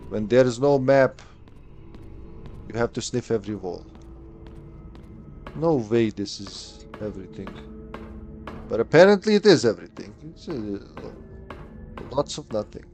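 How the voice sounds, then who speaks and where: A man in his late thirties giving live commentary on a game, chatting, thinking aloud and answering chat. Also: mid-range voice. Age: late 30s. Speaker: streamer.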